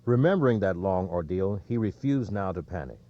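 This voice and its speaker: Male narrator for sports non-fiction audiobook: mellow, relaxed tone, lower register, slightly muffled recording. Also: a little fast. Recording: dull, lo-fi audio.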